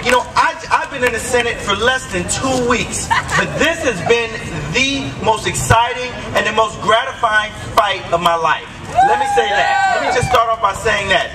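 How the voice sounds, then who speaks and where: A man's voice: extremely high pitch, sing-song, normal speed, hardly any pauses. A man speaking as a state senator at a protest rally, rousing the crowd and calling out to it. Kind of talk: rally speech. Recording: distant mic with loud outdoor noise.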